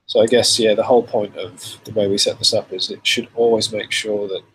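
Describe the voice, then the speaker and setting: A man with a cold and a slightly rough voice, hosting a casual live demo and answering viewer questions in a relaxed, chatty way. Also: on the low side, brisk, a little hiss or hum, poor audio. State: has a cold, voice a bit rough. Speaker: software developer hosting a live stream.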